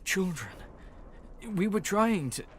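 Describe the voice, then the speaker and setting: A man playing a wounded, disillusioned soldier of a religious order in a video game, earnest and pleading with halting, laboured delivery. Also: high voice, big pitch swings, a little hiss or hum, exaggerated voice. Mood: anguished, earnest. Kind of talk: dramatic video game voice acting.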